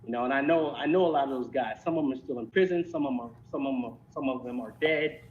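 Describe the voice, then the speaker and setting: A man in his fifties from Philadelphia with an American accent, reminiscing casually as an interview guest in a halting way, repeating words as he goes. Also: a little mellow. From Philadelphia, United States. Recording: dull lo-fi call, rumbling noise.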